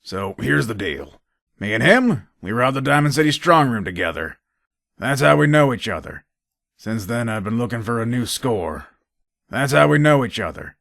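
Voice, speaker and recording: A man's voice: mid-range voice, sing-song, moderate pace, creaky, a bit bright, no echo, studio quality. An actor playing a shrewd, businesslike gun trader in a video game, talking in casual, hard-bargaining salesman patter. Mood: businesslike, guarded. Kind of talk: video game character dialogue.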